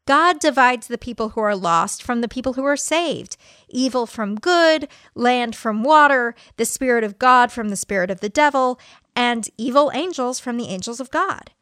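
A woman's voice: on the high side, fairly bright tone, no room sound, studio quality. A woman voicing an indignant doomsday preacher in a dramatic reading of a religious tract, with emphatic stresses and rhetorical questions.

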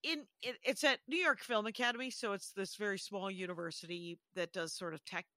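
A woman's voice: medium pitch, a little fast, pushed and bright, dry recording, clean studio sound. A woman co-hosting a podcast, telling stories in a rambling, self-interrupting way with frequent laughs. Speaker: podcast co-host. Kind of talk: casual chat.

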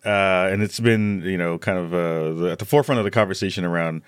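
A man's voice: sits low, fast talker, clear, resonant tone, dry recording. A man speaking as a podcast guest, chatting casually and animatedly, repeating words for emphasis.

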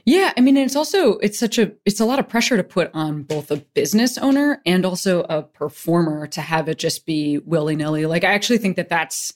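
Woman interview host, rambling, filler-heavy, low-middle pitch. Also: lively intonation, a little fast, few pauses, a little mellow. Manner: rambling, hedging, many fillers. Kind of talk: casual interview chat.

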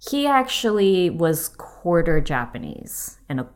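A young woman chatting casually as a podcast guest, often pausing with "like" and "um". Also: fairly low pitch, very animated. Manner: hesitant, many "like" and "um" fillers. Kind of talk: casual chat.

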